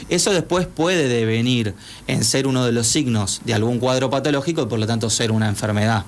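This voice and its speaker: A man's voice: mid-range voice, fairly quick, slight background noise. Uruguayan man explaining patiently on radio. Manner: explanatory, patient, restarts mid-sentence. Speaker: psychologist guest. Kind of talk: radio studio conversation. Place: radio studio.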